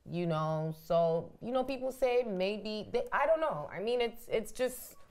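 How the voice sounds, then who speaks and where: A woman's voice: mid-range voice, expressive, a little slow, a little mellow. A woman from Ghana co-hosting a casual panel chat, telling a long story with frequent restarts. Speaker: co-host.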